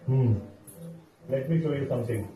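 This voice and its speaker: A man playing an expressive, theatrical village sculptor of deities in an amateur stage drama. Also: medium pitch, moderate pace, close to an everyday voice. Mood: grave, helpful. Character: animated village deity sculptor, eager then fearful. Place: temple hall stage. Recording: muffled, echoey hall, distant mic, faint music.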